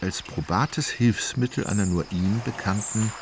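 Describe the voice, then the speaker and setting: An actor as a measured, ironic literary narrator of a radio drama, wry in manner. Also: male voice, on the low side. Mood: measured, detached. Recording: clear ambient noise behind voice.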